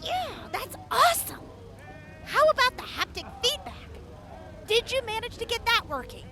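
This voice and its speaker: A woman's voice: extremely high pitch, sing-song, normal speed, over loud music. A woman playing a friendly, tough young raider medic in an audio drama, casual, upbeat and caring. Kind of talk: post-apocalyptic audio drama acting.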